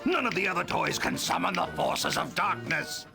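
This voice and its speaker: An actor playing a demonic talking skull in a video game, a petulant, grandiose would-be evil overlord with a theatrical delivery. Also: male voice, high voice, sing-song, moderate pace, gravelly, music in the background, full character voice. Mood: pleading.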